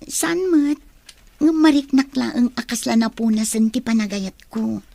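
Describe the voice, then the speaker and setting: A woman's voice: a little high, unhurried, creaky, warm tone, no room sound. A woman with an Ilocano Filipino accent playing a pensive, lovelorn young student in a radio drama. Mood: torn, resigned.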